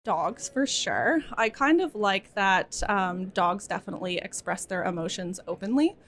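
A woman's voice: medium pitch, expressive, normal speed, a bit bright, slight background noise. Thoughtful Canadian woman guest. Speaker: convention attendee, guest.

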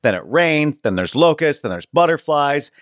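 A man co-hosts a comedy podcast, telling a rambling story packed with fillers. His pitch moves freely up and down. The sound is dry and close, with no room in it. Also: sing-song, moderate pace, no room sound. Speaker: podcast co-host.

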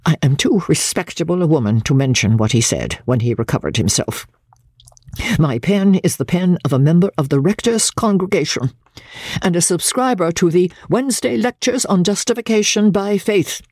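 A woman's voice: lowish voice, expressive, moderate pace, a little mellow, no echo, studio quality. A woman reading classic literature dramatically as a prim, self-righteous older mother, pompous, genteel and indignant in manner. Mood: prim indignation.

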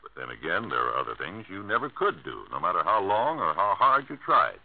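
A man's voice: deep voice, very animated, a little fast. An American man announcing in solemn, declamatory 1950s radio style, with emphatic public-service oratory. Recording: muffled vintage radio broadcast.

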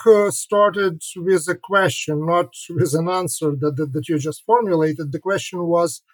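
A middle-aged man from Ukraine, a historian and author, answering interview questions haltingly, with many repeats and fillers. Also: high-pitched, no echo, poor audio.

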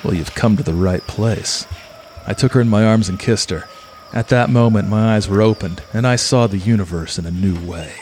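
An actor plays a macho, hard-boiled male mercenary telling his story in clipped pulp slang. His voice sits fairly low, with the swagger of a radio-play tough guy. A light bed of hiss and insects runs behind it. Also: moderate pace, a little mellow, lightly acted. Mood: cocky. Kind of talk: pulp radio-play first-person narration.

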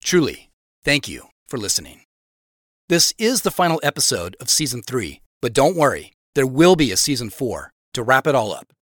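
A man's voice: medium pitch, big pitch swings, moderate pace, a bit bright, no echo, studio quality. A man giving a scripted announcement to listeners as host of an audio drama.

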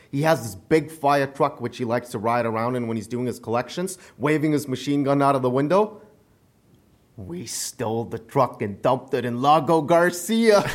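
An actor playing an aggrieved, petty mobster on the run in a comic audio drama, earnest and indignant. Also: male voice, mid-range voice, lightly acted.

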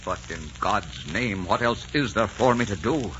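World-weary romantic adventurer, deep voice, grave delivery, dull noisy vintage radio sound. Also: male voice, expressive, unhurried, fairly bright tone. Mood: firm, anguished. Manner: grave, ardent, dramatic. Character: brooding, world-weary male adventurer soldier in love. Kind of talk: 1940s radio romance acting.

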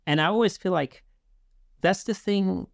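A man's voice: high voice, unhurried, creaky, no echo, clean studio sound. A middle-aged man hosting a podcast, talking casually and conversationally. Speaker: podcast host.

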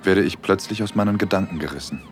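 An actor playing a dreamy, bashful young man, narrating an intimate audio drama in the first person in a soft-spoken way. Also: low voice, fast, soft background music, lightly acted. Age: young adult.

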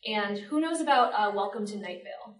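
A woman's voice: mid-range voice, lively intonation, a little fast. A woman moderating a live panel discussion, speaking to the room as she poses topics to the panel. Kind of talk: spoken panel discussion before an audience. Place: panel at a public library, indoors. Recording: roomy, distant library event recording.

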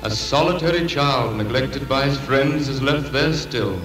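A man's voice: medium pitch, fairly bright tone, natural voice. Guy doing formal spooky spirit guide, commanding, echoey, old radio play w faint music. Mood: probing, gentle. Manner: measured, formal, probing. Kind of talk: old-time radio drama acting.

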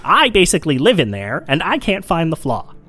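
An actress playing an eager, idealistic rocket technician in an audio drama, informal and earnest. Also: female voice, deep voice, wildly swooping pitch, brisk, a bit bright, breathy edge, full character voice. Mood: defensive. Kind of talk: audio drama acting, naturalistic dialogue.

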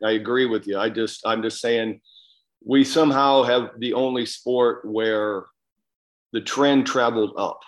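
A man's voice: mid-range voice, fairly even intonation, unhurried. Blunt man co-hosting a casual sports podcast. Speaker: podcast co-host, former player.

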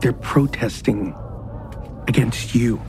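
An actor playing a weary, blunt son of a company magnate in a naturalistic audio drama. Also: male voice, medium pitch, takes it slowly, background noise, lightly acted. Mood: bitter, reproachful.

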